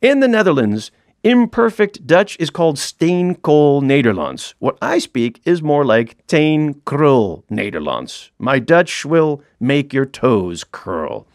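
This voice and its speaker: A man's voice: a little high, very animated, takes it slowly, no echo, studio quality. A middle-aged man reading his own comic audiobook in a wry, self-mocking storytelling manner.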